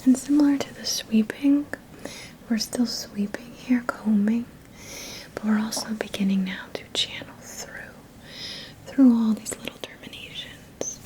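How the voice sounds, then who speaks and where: A woman whispering breathily in a soothing ASMR style as a Reiki teacher guiding an energy-healing session, often repeating soft phrases. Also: a little high, rough recording. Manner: slow, soothing, repeats words and phrases in a trailing murmur.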